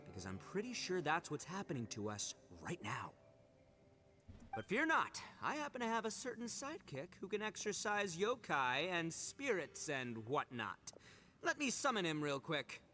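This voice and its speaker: A young oni gang boss from a video game, brash and good-natured. His delivery is theatrical and boastful, with highly animated pitch over light music. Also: moderate pace. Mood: dramatic, confident.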